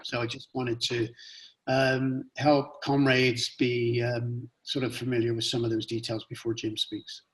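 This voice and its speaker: Male speaker on conference call, hesitant with fillers, mid pitch, distant mic. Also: flat delivery, unhurried, fairly bright tone. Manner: hesitant, lots of ums. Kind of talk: call presentation.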